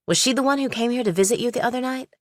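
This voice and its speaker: A woman's voice: on the high side, rapid-fire, no echo, studio quality, close to an everyday voice. A woman voicing a skeptical young law student in an audiobook, clipped, probing and lawyerly. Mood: probing, accusing. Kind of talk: audiobook character dialogue.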